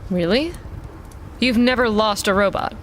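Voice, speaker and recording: An actress playing a tough, sardonic robot pilot in a science fiction audio drama, talking in a dry, blunt, casual manner. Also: female voice, medium pitch, unhurried, slight background noise, close to an everyday voice.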